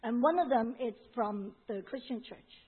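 A woman with a Hong Kong accent interprets a sermon, passing each phrase along with small fillers. Her voice is husky and somewhat high. The audio sounds thin, like a phone. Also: phone-quality sound. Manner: relays each phrase quickly, with fillers and restarts.

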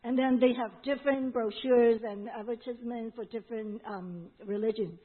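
A woman's voice: on the high side, steady tone, moderate pace, slightly rough. A woman with a Hong Kong accent interpreting a sermon, relaying each phrase with fillers and restarts. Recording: tinny phone-like sound.

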